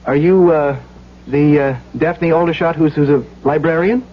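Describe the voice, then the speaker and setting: Actor as a troubled, halting man, higher-pitched. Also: close to an everyday voice. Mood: nervous. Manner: halting, stammering, repeating words. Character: troubled, earnest man shaken by a nightmare. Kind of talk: 1970s radio drama acting. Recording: dull, faintly hissy 1970s radio recording.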